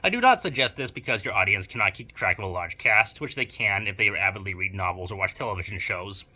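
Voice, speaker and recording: A man's voice: lowish voice, lively intonation, fast, pushed and bright. A man presenting a scripted instructional video essay, speaking in a formal, bookish and emphatic way. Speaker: video presenter giving writing advice.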